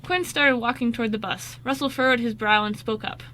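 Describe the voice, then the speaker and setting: A woman's voice: on the high side, a little fast, bright, forceful tone. A woman narrating a scripted radio drama, reading expressively.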